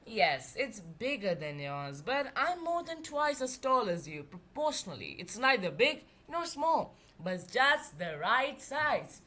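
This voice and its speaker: A woman's voice: a little high, sing-song, measured pace, hardly any pauses, bright, forceful tone, exaggerated voice. A woman voicing a blunt, folksy giant female ape creature in a children's storybook. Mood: matter-of-fact. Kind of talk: children's storybook read-aloud.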